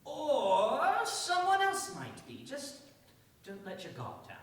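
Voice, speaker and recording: A woman's voice: a little high, huge pitch swings, slow, full character voice. A woman playing a stern, foreboding, protective housekeeper in a staged radio play, ominous and insistent, recorded echoey and distant with some noise.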